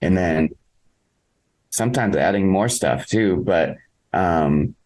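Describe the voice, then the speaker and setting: Male musician for a podcast interview: very low voice, casual, halting, thoughtful delivery. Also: expressive, a little mellow. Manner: halting, reflective, thinking aloud. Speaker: interviewed musician, singer in a band.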